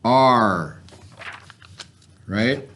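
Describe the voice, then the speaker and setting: A man's voice: mid-range voice, lively intonation, extremely slow, bright, forceful tone, a little hiss or hum. A man teaching a remote reading lesson to children in an informal, instructional way, carefully sounding out letters and words. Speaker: elementary school teacher.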